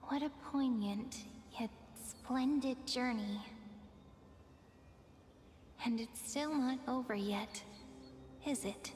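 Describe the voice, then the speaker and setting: A woman voicing a gentle, wistful young companion in a video game, soft and lingering. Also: fairly high pitch, slow pace, long pauses, a little hiss or hum. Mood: tender, wistful. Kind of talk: dramatic video game voice acting. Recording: echoey reverb, loud music behind.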